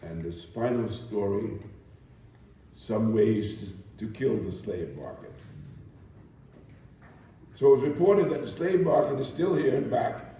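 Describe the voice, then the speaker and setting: Slow, theatrical man in an echoey hall. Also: medium pitch, dark tone. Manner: measured, deliberate phrasing with dramatic pauses. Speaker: actor giving a dramatic reading. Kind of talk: theatrical reading of archival sources. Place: large reverberant room at a live performance. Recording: echoey hall, distant microphone.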